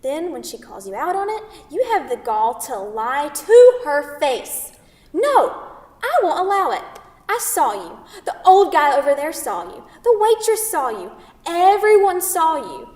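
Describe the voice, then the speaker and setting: A woman in a staged play reading, playing a sharp-tongued, sarcastic young woman who scolds emphatically. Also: high-pitched, very animated, a little slow. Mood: indignant, scolding. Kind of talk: staged reading of a comedic two-person play. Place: theatre stage, picked up from a distance. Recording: echoey theatre hall, distant microphone.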